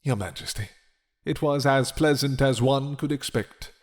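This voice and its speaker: A man's voice: medium pitch, natural voice. Courtly, menacing vampire lord, acted by a man. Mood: formal, guarded. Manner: courtly, measured, threatening. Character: proud, menacing, smooth-talking male vampire lord. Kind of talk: dramatic roleplay acting.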